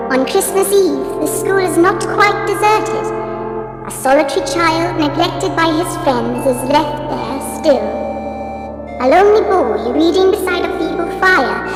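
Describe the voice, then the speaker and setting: A very high-voiced, childlike spirit of great age acted by a woman for radio drama. It is gentle yet commanding and formal, drenched in echo over loud music. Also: animated, a little slow. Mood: solemn, gentle. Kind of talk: theatrical radio play acting. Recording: long echoing reverb under loud music.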